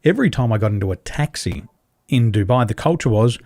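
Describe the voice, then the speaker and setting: An Australian man, a podcast guest, speaking on a video call and telling animated stories, acting out dialogue with big leaps in pitch and emphatic repetition. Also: brisk, no echo, studio quality. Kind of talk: animated conversational storytelling. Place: home in Taiwan, over a video call.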